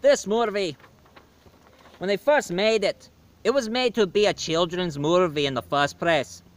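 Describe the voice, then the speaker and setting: Cheeky leprechaun reviewer voiced by a man, hammy Irish accent, fairly high, smooth voice, rambling with fillers. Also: measured pace. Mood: jolly. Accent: put-on Irish. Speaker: movie reviewer.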